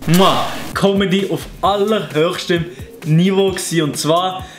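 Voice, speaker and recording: A young man with a Fribourg Swiss German accent and a fairly high voice, roasting other YouTubers. His delivery is mocking and sarcastic, and faint music plays underneath. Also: fairly even intonation, measured pace. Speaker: YouTuber host. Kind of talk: satirical commentary.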